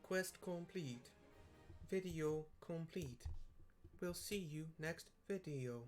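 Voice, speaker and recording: A man narrating a video game playthrough in a casual, halting way, musing aloud as he goes. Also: a little high, a little mellow, faint music behind.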